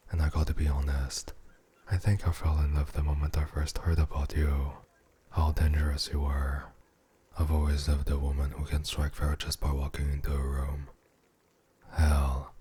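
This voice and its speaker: A man playing a possessive, menacing, seductive mafia boss in an ASMR roleplay monologue, with a husky, breathy voice and a condescending, intimate murmur. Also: rumbling low, dark tone, slight background noise. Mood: admiring, menacing.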